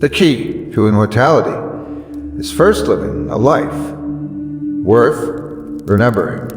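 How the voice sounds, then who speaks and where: A man delivers dramatic motivational narration with an animated, swooping intonation. He speaks slowly and solemnly, pausing for weight and stressing key words. His voice is drenched in long reverb. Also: medium pitch. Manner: deliberate, solemn, emphatic with weighty pauses. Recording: echoey long reverb over music. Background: background music.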